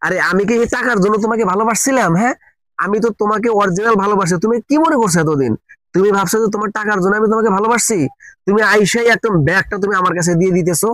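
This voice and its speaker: An actor playing a defensive, anxious lover in a drama, protesting and pleading with a Bangladeshi accent. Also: male voice, high-pitched, very fast, fairly bright tone, no echo. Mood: pleading, earnest. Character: anxious, defensive male lover waiting to elope.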